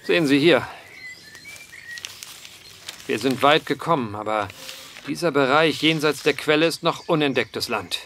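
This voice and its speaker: An actor playing an earnest, determined gentleman writer in a German audio drama, speaking with precise, old-fashioned eloquence. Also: medium pitch, unhurried, pauses often, a little hiss or hum. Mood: courteous.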